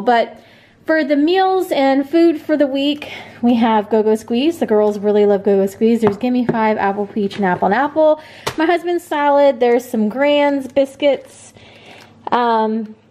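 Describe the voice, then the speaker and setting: A woman's voice: a little high, a little mellow. A woman in her thirties talking casually to camera in a home vlog, chatty and upbeat, full of fillers. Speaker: vlogger. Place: home bathroom and kitchen, indoors. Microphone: camera on tripod, then handheld.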